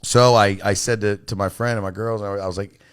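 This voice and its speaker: A man's voice: fairly low pitch, fairly even intonation, a little fast, clean tone, dry recording, clean studio sound. A man hosting a podcast, chatting casually and swearing often, with lots of "you know what I mean". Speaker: podcast host. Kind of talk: casual profane chat. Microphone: studio mic.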